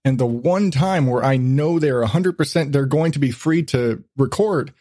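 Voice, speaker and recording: A man's voice: mid-range voice, normal speed, mellow, no room sound, clean studio sound. A man hosting a casual podcast chat, rambling along and swearing freely. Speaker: podcast host.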